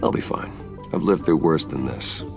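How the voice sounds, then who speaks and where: A man voice-acting a grim, stoic, injured masked vigilante in a video game, speaking tersely in a gravelly voice. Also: very deep voice, normal speed, over music. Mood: stoic, determined.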